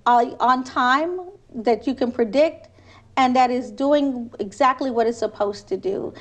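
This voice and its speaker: A woman's voice: high voice, a little slow. A woman from Trinidad, a city council candidate, answering interview questions in an earnest, persuasive way with frequent restarts.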